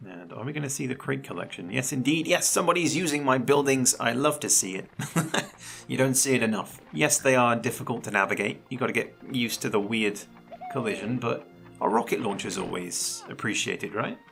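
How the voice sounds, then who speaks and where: A man commentating a video game match live, chatty and analytical, his voice a little croaky. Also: medium pitch, lively intonation, brisk, a bit bright, soft background music. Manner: chatty and analytical, laughs often. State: slightly croaky voice. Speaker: esports commentator.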